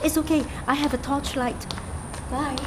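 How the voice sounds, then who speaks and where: A woman's voice: high-pitched, steady tone, moderate pace, close to an everyday voice. A woman playing a shy, polite young night-class student in an amateur radio play. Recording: classroom crowd noise behind.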